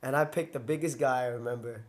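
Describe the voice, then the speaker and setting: A man's voice: mid-range voice, fast talker. A young man, a former fighter and podcast guest, telling his story in a casual, rambling way full of fillers and self-corrections.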